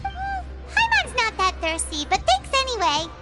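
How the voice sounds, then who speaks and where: A chatty little fairy sidekick from a video game. She talks about herself in the third person, with a very high, squeaky voice that swoops all over the place. Loud game music plays behind her. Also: wildly swooping pitch, normal speed, exaggerated voice. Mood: excited. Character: tiny floating fairy companion, excitable, chatty.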